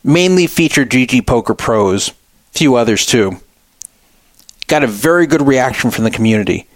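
A man's voice: medium pitch, lively intonation, normal speed, no room sound. Middle aged guy doing opinionated radio host monologue, emphatic, repeats stuff for punch, super dry close sound. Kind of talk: opinionated solo talk radio commentary.

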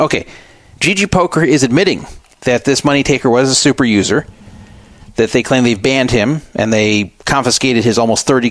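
A man's voice: mid-range voice, dry recording. A man hosting a radio show, reading out and explaining things in a conversational, explanatory way. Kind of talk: talk radio commentary, reading out and explaining a statement.

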